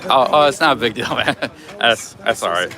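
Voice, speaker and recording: A man livestreaming on a city street, talking casually with a loud, carrying voice and lots of fillers and restarts. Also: mid-range voice, lively intonation, moderate pace, a crowd in the background. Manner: rambling, many fillers and restarts. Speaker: livestream host. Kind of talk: casual chat while streaming. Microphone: streaming camera rig. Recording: clear street noise.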